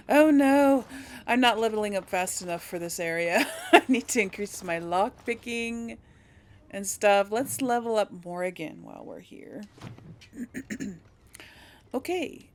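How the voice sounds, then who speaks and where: A woman's voice: mid-range voice, slow, long pauses. A woman giving casual game commentary as a let's play host, chatting and thinking aloud. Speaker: let's play host, role-playing a character.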